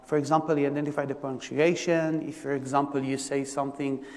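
A man from Israel with an Israeli accent, giving a conference tech talk in a halting way, full of fillers and restarts. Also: mid-range voice, flat delivery, darkish tone. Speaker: technical presenter. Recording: long reverb of a lecture hall.

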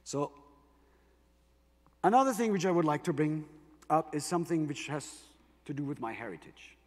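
A man's voice: on the high side, very animated, normal speed, darkish tone. A man with a German accent giving an explanatory keynote tech presentation, emphasising points with rhetorical questions. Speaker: conference presenter for a tech company. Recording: reverberant large hall.

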